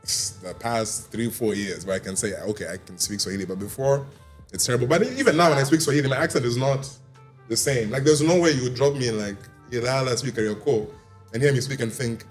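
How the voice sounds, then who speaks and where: A young man raised abroad, a guest actor telling stories in a lively, animated way during a casual interview, with faint music in the background. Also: mid-range voice, quick pace. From Tanzania, raised abroad. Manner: animated storyteller, laughs along.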